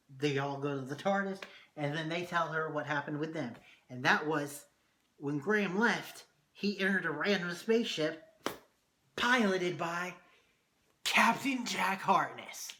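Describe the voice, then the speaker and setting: A man reviewing a TV episode in a casual vlog, excitable and swearing often, his voice going hoarse. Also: fairly high pitch. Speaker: TV review vlogger.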